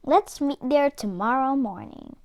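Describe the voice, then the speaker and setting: A woman's voice: high voice, animated, measured pace, darkish tone. A woman voicing a clever, cheeky little male pig in a children's storybook, speaking with playful politeness. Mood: breezily polite. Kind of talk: children's storybook read-aloud.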